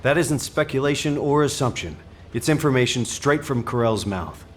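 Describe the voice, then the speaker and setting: An actor playing a decisive military commander in a video game, firm, authoritative and matter-of-fact. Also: male voice, mid-range voice, expressive, moderate pace, a little hiss or hum, close to an everyday voice. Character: decisive male military commander briefing his team. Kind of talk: scripted game dialogue. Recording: faint game ambience behind voice.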